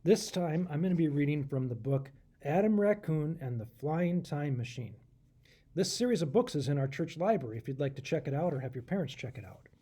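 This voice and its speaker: A man with a soft-toned, husky voice reads a picture book to children. His pitch moves around in a lively, expressive way, and he talks straight to the young listeners as he goes. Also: a little fast. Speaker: pastor reading to children. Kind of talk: children's storybook read-aloud with asides to listeners.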